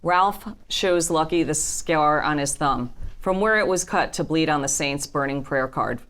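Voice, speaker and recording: A woman's voice: low voice, a little slow, fairly bright tone. A woman narrator reading screenplay stage directions at a table reading.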